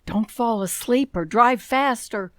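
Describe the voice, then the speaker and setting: A woman's voice: a little high, unhurried, fairly bright tone, dry recording, clean studio sound. A woman playing a worried, doting older mother in an old-time radio drama, pleading hesitantly. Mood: worried, tearful. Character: worried, tearful, doting mother of a grown son.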